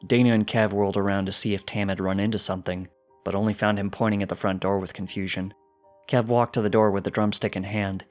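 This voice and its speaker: Low-voiced man narrating a story. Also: little pitch movement, fast, faint music behind. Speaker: fan fiction narrator.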